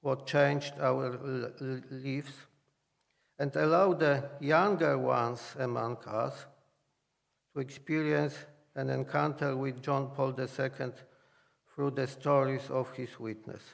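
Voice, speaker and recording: A man reads a formal welcome to conference guests. He speaks very slowly in a flat monotone, and his voice is thick with gravelly fry. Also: medium pitch. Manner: slow, flat, read-out delivery. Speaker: conference host giving a welcome.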